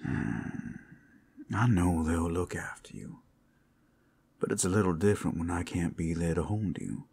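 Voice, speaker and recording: A man playing a tender, protective werewolf lover in an intimate ASMR roleplay, speaking in halting, heartfelt phrases full of endearments. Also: low voice, steady tone, breathy edge, full character voice. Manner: soft endearments, halting heartfelt delivery, teasing asides. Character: tender, devoted, protective male werewolf lover working at a brothel.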